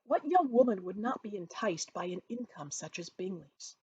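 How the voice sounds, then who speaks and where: A woman reading her novel aloud as expressive storybook narration, with dramatic, emphatic phrasing. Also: mid-range voice, moderate pace, breathy edge, lo-fi sound. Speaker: author reading from their own novel.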